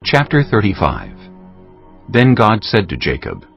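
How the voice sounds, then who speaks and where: A man's voice: lowish voice, huge pitch swings, unhurried, creaky, lo-fi sound. A man narrating scripture as a scripted story for a dramatized audio production. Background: faint music.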